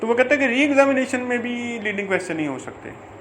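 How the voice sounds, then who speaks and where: A Pakistani man with a high voice lectures informally, in the manner of a law teacher. He goes haltingly, repeating phrases and switching between reading formal text and explaining it. Faint noise sits behind the homemade audio recording. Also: animated, fairly bright tone. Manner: halting, repeats phrases, stretches out English words when reading.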